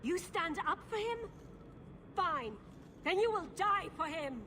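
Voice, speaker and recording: A vengeful elf speaks in a high voice. She is bitter, grieving and accusing in a dramatic game scene, with clear noise behind her. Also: animated, slow, exaggerated voice. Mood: bitter fury. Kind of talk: video game dramatic acting. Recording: game audio with background noise.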